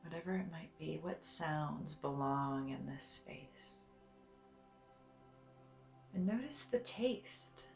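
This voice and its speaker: Slow, low-voiced woman guiding meditation. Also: animated, creaky, reverberant. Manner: soothing, gentle, deliberate with long pauses. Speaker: meditation guide, therapist. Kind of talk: guided meditation. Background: soft music.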